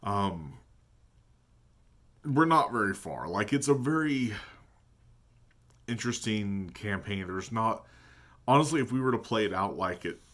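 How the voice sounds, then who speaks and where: A man with a deep voice talking casually in a vlog about his tabletop gaming, thinking aloud and hesitating as he goes. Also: lively intonation, unhurried, long pauses, a bit bright. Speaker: tabletop game player. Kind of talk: casual reflective vlog talk.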